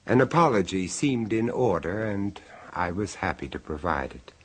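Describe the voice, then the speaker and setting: A deep-voiced apologetic dinner guest. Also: male voice, animated, breathy edge. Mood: ominous. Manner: measured storytelling narration, hesitant and apologetic in dialogue. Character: Vincenzo, polite, squeamish male dinner guest, narrator. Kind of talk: 1970s radio drama acting and narration. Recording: old radio broadcast.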